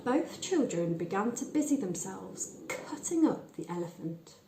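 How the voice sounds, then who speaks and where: A woman reading a short story aloud in a measured, expressive storytelling manner with deliberate pauses. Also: mid-range voice, roomy sound, slight background noise, low-quality recording. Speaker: story reader. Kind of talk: scripted narration of a short story.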